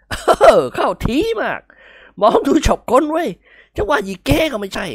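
A reader voicing a gruff, pompous old nobleman who barks and scolds in an audiobook. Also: high-pitched, expressive, moderate pace, no echo, studio quality, pitched above the performer's own voice. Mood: amused. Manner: barking, blustery scolding. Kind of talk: audiobook character voice.

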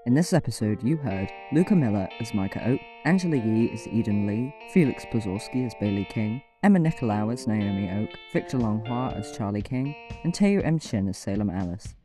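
A man's voice: on the low side, expressive, brisk, few pauses. A man reading scripted credits for a fiction podcast. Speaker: credits reader. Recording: faint guitar music behind.

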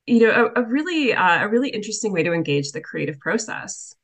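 Encouraging woman interviewer, medium pitch. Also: big pitch swings, husky. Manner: encouraging, halting phrasing. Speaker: podcast host, interviewer. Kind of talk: casual interview.

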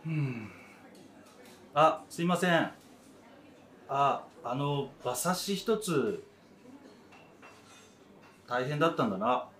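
A man's voice: mid-range voice, animated, takes it slowly, long pauses, fairly bright tone. A man in his thirties playing a supportive, frank old friend in a radio drama, talking in a casual, encouraging way. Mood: concerned. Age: 30s. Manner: casual, plain-spoken, encouraging.

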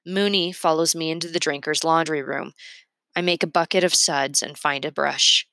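A woman's voice: deep voice, fairly even intonation, normal speed, vocal fry, pushed and bright, dry recording. A woman reading a storybook aloud expressively, narrating in the first person.

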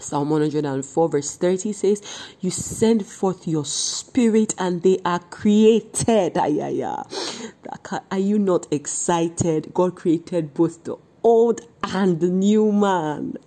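Excitable Nigerian American woman podcast host. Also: fairly low pitch, slow pace. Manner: excitable, laughs often, exclaims.

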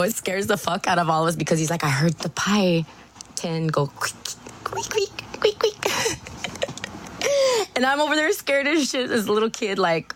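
Low-voiced chicana woman from texas, giggly voicemail story, faint hiss behind. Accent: Texan Chicano English. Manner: giggly, swears freely. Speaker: voicemail caller.

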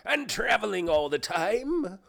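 Old storybook miser: high, jittery pitch, humble, faltering, pleading manner. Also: male voice, sing-song, a little slow, creaky, a bit bright, full character voice. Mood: humble, wondering. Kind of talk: dramatised reading of a classic ghost story.

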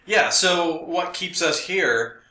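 A man's voice: sits high, animated, takes it slowly, pushed and bright. An American man chatting casually as a podcast co-host, telling stories in a jokey way and acting out bits of conversation. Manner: jokey, acts out lines from conversations. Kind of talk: casual chat, anecdotes and joking. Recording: roomy, echoey sound.

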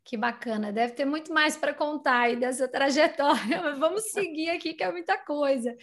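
High-pitched Brazilian woman interviewer. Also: moderate pace, fairly bright tone, a little hiss or hum. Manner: informal, chatty prompting. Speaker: interviewer, host. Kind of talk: casual conversational interviewing.

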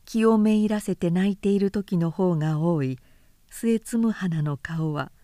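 A woman narrator reading classic literature aloud in a measured, careful audiobook delivery. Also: fairly low pitch, mellow, dry recording, clean studio sound.

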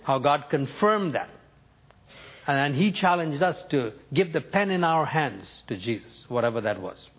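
A man's voice: fairly high pitch, lively intonation, highs cut off. Older Indian-born man preaching. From North India. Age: 70s. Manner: personal storytelling, measured with emphatic lifts. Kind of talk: sermon.

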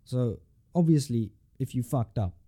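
A young man with a South African accent, a university student chatting casually with emphatic, animated energy and casual swearing. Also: medium pitch, a little slow. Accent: South African English. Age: young adult. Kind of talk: casual chat.

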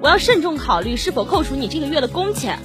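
Woman acting a high-voiced, feisty young tavern mistress. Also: big pitch swings, fast, over music. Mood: scolding. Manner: brash, scolding. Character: feisty young female tavern keeper, bossy and teasing. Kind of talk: Chinese audio drama acting.

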